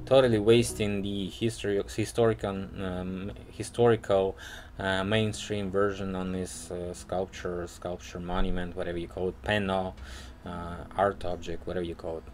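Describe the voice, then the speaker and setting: Low-voiced Russian man rambling on a walk. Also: flat delivery, a little mellow, soft background music. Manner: rambling, halting, many restarts and fillers. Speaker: vlogger. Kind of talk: casual walking vlog. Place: city street outdoors.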